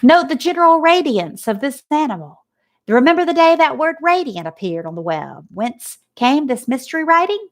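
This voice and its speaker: Woman doing a fairground loudspeaker announcer, pompous, grand and booming, high lively voice. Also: moderate pace.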